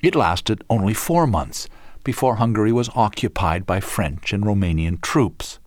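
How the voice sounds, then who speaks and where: A man reading scripted documentary narration in a measured storytelling delivery. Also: on the low side, expressive, dry recording.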